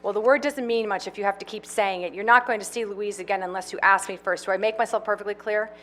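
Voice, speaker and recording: A woman's voice: mid-range voice, steady tone, fast, a bit bright, lightly acted. A woman voicing a stern, bossy older sister who scolds in clipped lines. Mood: scolding.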